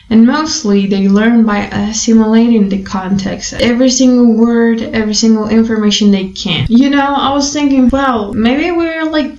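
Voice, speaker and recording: A woman's voice: on the high side, a little mellow. A young Brazilian woman whose first language is Portuguese, thinking aloud in a casual webcam vlog with many fillers. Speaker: vlogger. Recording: echoey room, dull webcam audio.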